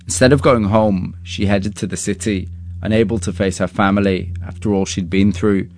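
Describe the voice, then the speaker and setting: A man's voice: lowish voice, steady tone, moderate pace, a little mellow, slight background noise. A man hosting an interview, moving between scripted storytelling narration and questions to his guests.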